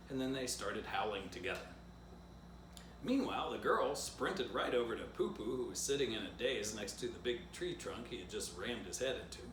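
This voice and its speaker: A man's voice: mid-range voice, fairly bright tone. A man reading a children's story aloud as its author, with playful asides spoken directly to young listeners. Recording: roomy echo, background noise.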